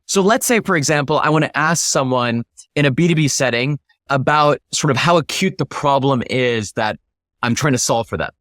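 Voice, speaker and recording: A man's voice: medium pitch, moderate pace, a bit bright, no echo, clean studio sound. A man speaking as an interview guest, explaining his ideas in an emphatic, instructive way. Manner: emphatic, instructive, illustrates points with acted-out example questions. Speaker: interview guest, startup founder.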